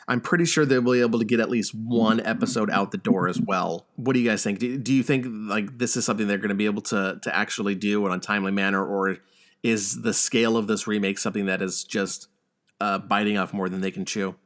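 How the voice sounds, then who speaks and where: A man with a fairly low voice speaks as a podcast host. His delivery is casual and conversational, suited to gaming news and chat. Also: a bit bright.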